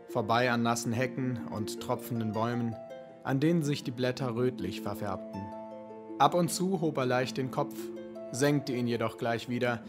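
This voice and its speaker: A man narrating a literary audio drama from a script, in a measured, solemn manner. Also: mid-range voice. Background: music with guitar.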